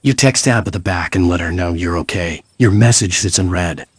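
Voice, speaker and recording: A man's voice: low-pitched, normal speed, breathy edge, dry recording. A man narrating a visual novel story in the second person, in a scripted storytelling style.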